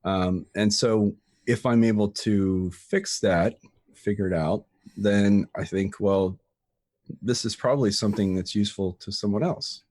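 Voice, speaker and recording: A man with a fairly low voice speaks in a loose, conversational way, circling back and restarting his sentences often. The sound is dry and close, with no room around it. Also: fairly even intonation, measured pace, a little mellow, dry recording. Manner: rambling with frequent restarts and fillers. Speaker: guest, tutorial creator. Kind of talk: casual interview chat.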